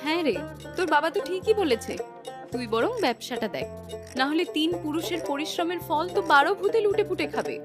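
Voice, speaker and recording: A woman's voice: high voice, brisk, music in the background, lightly acted. An actress playing an anxious, possessive middle-aged mother and wife in a melodramatic cartoon story. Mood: coaxing.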